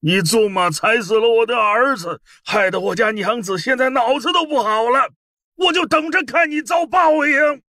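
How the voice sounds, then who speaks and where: A man's voice: very high voice, normal speed, sharp tone, no room sound, studio quality, voice pushed up. A man voicing an honest, meek, bereaved commoner in an audiobook, tearful and pleading. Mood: tearful anger. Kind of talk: audiobook character acting.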